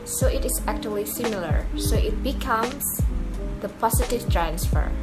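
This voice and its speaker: A woman with an Indonesian accent, a native speaker of Indonesian, presenting a short lesson in English, explaining slowly and asking rhetorical questions. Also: a little high, rough recording. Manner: slow, careful explaining with many rhetorical questions. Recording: loud background music under voice.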